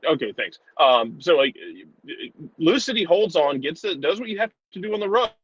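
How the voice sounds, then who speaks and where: A man's voice: a little high, lively intonation, moderate pace, breathy edge, no room sound, highs cut off. A man giving casual sports analysis in an informal, conversational way, repeating words for emphasis. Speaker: soccer show commentator.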